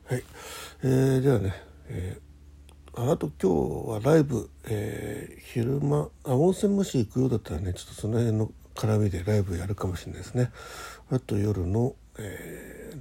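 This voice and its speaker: A man hosting a casual solo morning radio show, chatting in a halting way, thinking aloud and murmuring to himself, with a husky, gravelly voice. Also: lowish voice, dark tone. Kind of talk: casual solo chat with health log and listener letters.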